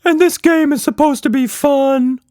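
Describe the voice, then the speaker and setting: A man's voice: extremely high pitch, steady tone, moderate pace, warm tone, no room sound, clean studio sound, voice pushed up. A man voicing a mischievous, overconfident magical furry monster in a children's story, exclaiming shrilly. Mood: disappointed, grumbling.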